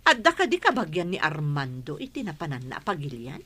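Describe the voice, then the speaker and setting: A woman with an Ilocano accent playing a suspicious, scheming mother and madam in a radio comedy drama, sharp and demanding. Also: deep voice, huge pitch swings, a little fast, breathy edge, full character voice. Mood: stern. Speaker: voice actor.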